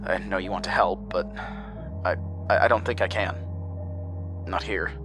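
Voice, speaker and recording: A man's voice: low-pitched, steady tone, moderate pace, music in the background, lightly acted. A man acting in a horror audio drama as a weary, anxious character who coaxes answers and narrates his ordeal. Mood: weary, uneasy. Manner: hesitant, stammering in dialogue.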